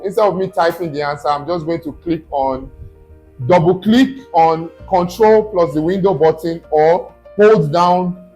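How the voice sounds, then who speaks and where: A man's voice: fairly high pitch, darkish tone. A man, a study abroad consultant, walks viewers through a screen-recorded tutorial step by step and keeps checking in with "okay?".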